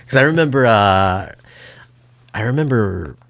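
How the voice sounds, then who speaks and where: A man hosting a casual interview, speaking informally in short reactions and questions. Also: mid-range voice. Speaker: host.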